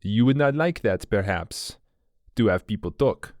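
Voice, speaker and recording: Man doing a smooth old Frenchman with a silky threat behind his manners, dry close recording. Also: lowish voice, animated, darkish tone, dry recording. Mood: silkily menacing. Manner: courteous, measured, veiled threats. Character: older gray-haired French male enforcer, suave and menacing.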